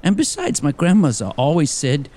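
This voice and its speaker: A man playing a fussy, excitable young lawyer in a comic audio drama, with a fretful, theatrical delivery. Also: on the high side, normal speed. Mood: comic, self-important. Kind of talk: gothic comedy audio drama dialogue.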